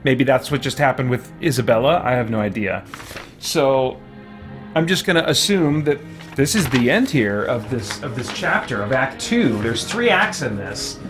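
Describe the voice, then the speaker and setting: A man's voice: medium pitch, expressive, moderate pace, over music. A man hosting a video game playthrough, musing and thinking aloud in casual commentary as if talking to himself. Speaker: video game let's player, host.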